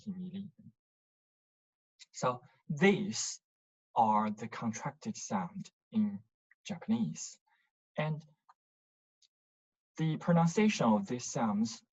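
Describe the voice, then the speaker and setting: A language teacher explaining and drilling pronunciation in a deliberate, instructive manner, often checking in with short questions. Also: mid-range voice, a little mellow, dull audio.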